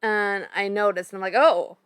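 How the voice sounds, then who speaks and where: A woman's voice: mid-range voice, normal speed, fairly bright tone, smooth, no room sound, clean studio sound. A woman in her thirties with a Minnesota accent, chatting casually at home while playing an online board game and thinking aloud through her moves. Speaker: streamer co-host. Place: home room, indoors.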